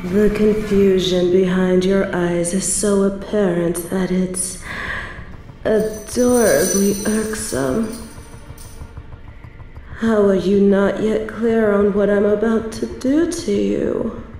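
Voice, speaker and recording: Evil obsessive queen, low voice, slow gloating delivery, mock-tender menace, audio drama. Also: steady tone, warm tone, roomy sound, soft background music, rough recording. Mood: sultry, threatening. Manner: theatrical, menacing, mock-tender, drawn-out phrasing. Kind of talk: scripted audio roleplay drama, addressed to the listener.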